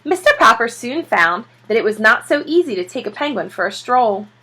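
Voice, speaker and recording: A woman reads a children's book in an expressive teacher's storytelling style. Her voice sits fairly high, with a bright edge and some huskiness. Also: a little fast, husky. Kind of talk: expressive read-aloud storytelling.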